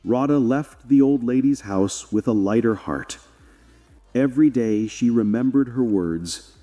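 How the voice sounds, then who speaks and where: A man's voice: medium pitch, a little slow, mellow. A man narrating a scripted moral story as a storyteller. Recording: long reverb, background music. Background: music.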